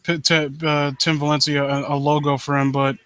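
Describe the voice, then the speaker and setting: A man hosting a casual live stream, talking in a halting, rambling way with joking, foul-mouthed asides. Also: on the high side, little pitch movement, fairly bright tone, smooth, no echo. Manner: rambling, halting, self-interrupting. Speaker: livestream host.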